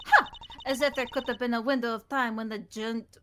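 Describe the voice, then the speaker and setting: A woman's voice: a little high, steady tone, brisk, sharp tone, a little hiss or hum. A woman voicing a haughty, combative young prosecutor with a scornful delivery in a comedic game dub. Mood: haughty, challenging. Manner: pompous, scornful. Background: game music.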